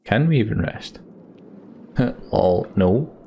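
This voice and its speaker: A man's voice: on the low side, expressive, takes it slowly, creaky, muffled recording, a little hiss or hum. A man giving casual commentary on a video game and reading out its story. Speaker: let's play streamer.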